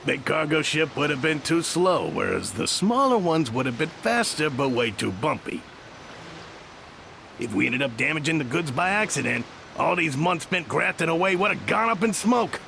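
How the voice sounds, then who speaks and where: A cocky, gravelly smuggler boss. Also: male voice, on the high side, sing-song, moderate pace, bright, forceful tone, slight background noise, exaggerated voice. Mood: smug. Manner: laughing, lecturing, self-assured. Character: cocky male smuggler boss, middle-aged. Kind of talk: game voice acting.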